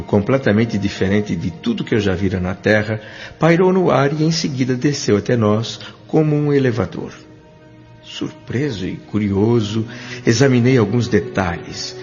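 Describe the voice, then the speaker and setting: A thoughtful doctor recounts his memories , voiced by a man in a measured Brazilian Portuguese accent. The voice sits fairly low and is literary and reflective. The sound is slightly dull, with soft music underneath. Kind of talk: scripted first-person audio drama narration.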